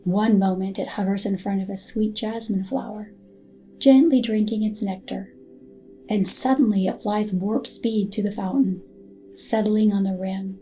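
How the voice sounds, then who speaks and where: A woman narrating a scripted hypnotic guided meditation in a soothing, soft and unhurried manner. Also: medium pitch, poor audio. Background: background music.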